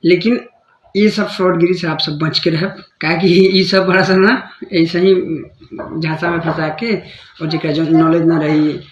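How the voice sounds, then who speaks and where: A man's voice: fairly high pitch, steady tone, measured pace. A man from India with a Bhojpuri accent, talking casually and colloquially, often repeating words and phrases. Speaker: content creator warning followers about scam calls. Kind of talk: casual phone call and informal talk to viewers. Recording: echoey, clearly noisy phone call recording.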